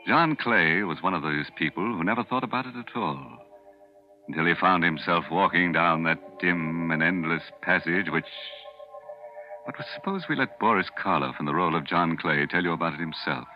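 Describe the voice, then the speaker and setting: A man plays a ghoulish host of a 1940s radio horror show, sardonic and theatrical. The voice sits low over background music. The recording is dull and vintage, and the delivery relishes every morbid word. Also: normal speed, close to an everyday voice. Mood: ominous. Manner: tongue-in-cheek, macabre, theatrical.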